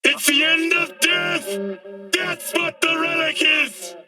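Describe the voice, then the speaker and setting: An actor playing a fiery dystopian street preacher in a video game, ranting in an impassioned, declamatory way. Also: male voice, very high-pitched, animated, slow pace, sharp tone, recorded from a distance, soft background music, full character voice. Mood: bitter, preaching. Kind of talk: dramatic video game monologue.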